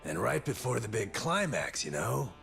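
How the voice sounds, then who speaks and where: A man's voice: medium pitch, very animated, moderate pace, gravelly, a bit bright, faint music behind. An actor playing an unhinged, fight-loving yakuza man in an English game dub, taunting in a sing-song, leering way. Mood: gleeful taunting.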